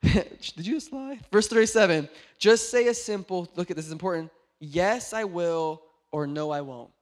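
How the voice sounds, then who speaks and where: A man in his late twenties preaching a sermon in a church, joking and telling animated stories. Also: high-pitched, moderate pace. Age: 20s. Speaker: pastor.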